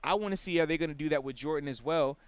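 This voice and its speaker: A man co-hosting a casual sports talk show, breaking down football plays in a relaxed way and dropping "man" often. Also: fairly high pitch, rapid-fire, smooth. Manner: relaxed, explains with repeated "man". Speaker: sports show co-host and analyst.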